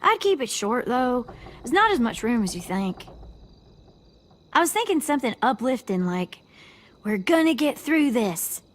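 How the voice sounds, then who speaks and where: A woman's voice: on the high side, sing-song, measured pace, pauses often. A woman voicing an eager, encouraging teenage girl in a video game, talking casually as if thinking aloud. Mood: thoughtful, upbeat. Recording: faint background noise, night crickets.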